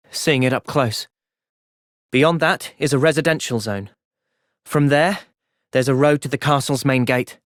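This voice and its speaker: An actor voicing an earnest, thoughtful young male soldier and leader in a video game, with an English accent and a measured delivery. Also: mid-range voice, dry recording, studio quality, lightly acted. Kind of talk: video game cutscene acting.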